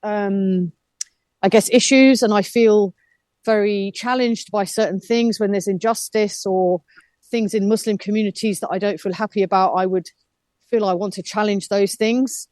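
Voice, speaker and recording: A woman's voice: mid-range voice, steady tone, moderate pace, a little mellow, no echo. A woman in her fifties, a reflective, chatty guest on a radio interview who laughs easily. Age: 50s.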